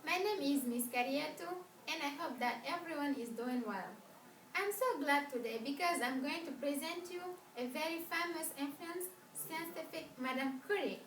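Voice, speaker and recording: A woman plays an admiring host of an amateur talk show. Her voice is high, and her manner is formal and effusive. She sounds distant in an echoey room with faint noise. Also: normal speed, fairly bright tone. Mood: welcoming. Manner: formal, effusive, non-native phrasing.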